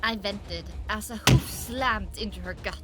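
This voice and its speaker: A woman's voice: medium pitch, a little slow. A woman reading dramatic first-person audiobook narration, with music playing underneath.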